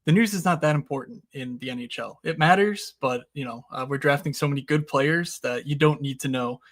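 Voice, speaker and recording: A man in a casual podcast chat explains strategy eagerly, with plenty of "uh" and "you know." His voice sits slightly high. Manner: fast, enthusiastic, explanatory, many fillers. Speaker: recurring guest analyst.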